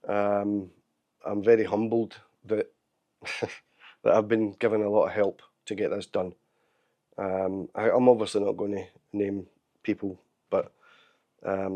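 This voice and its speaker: Male vlogger, middle-aged, hoarse, low mellow voice, open and halting. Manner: open, candid, halting with restarts. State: hoarse, losing his voice. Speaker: channel host. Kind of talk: casual video update. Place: living room at home.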